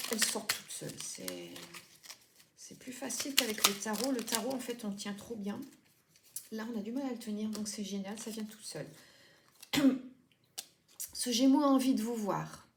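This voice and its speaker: A woman's voice: on the high side, moderate pace, pauses often. A woman giving a casual tarot reading, chatting and rambling with many fillers and self-corrections. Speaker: tarot reader. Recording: echoey room with clear background noise.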